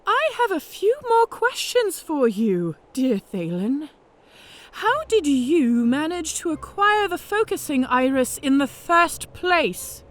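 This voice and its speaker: An actress playing a cunning, vengeful dragon mage disguised as a centurion, speaking in a theatrical, formally articulate way in a fantasy audio drama. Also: female voice, high-pitched, sing-song, takes it slowly, heavily acted. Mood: stern questioning.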